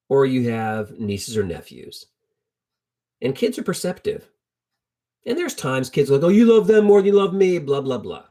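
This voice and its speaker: Man, middle-aged, husky voice, mid-range pitch, informal preacher, conversational Bible teaching, emphatic repetition. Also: dark tone.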